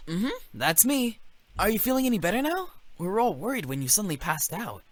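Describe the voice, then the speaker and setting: Visual novel game role, eager boyish incubus, high-pitched and hugely animated, theatrical, excitable. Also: male voice, a little fast, no echo. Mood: cheerful, playful. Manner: bouncy, theatrical, easily flustered. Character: cheerful, excitable boyish young male incubus.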